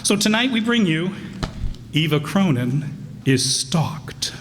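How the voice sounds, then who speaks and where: Animated, unhurried male announcer in a hall. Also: a little high. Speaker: announcer and host. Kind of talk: live introduction of a radio play. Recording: reverberant hall, faint background noise.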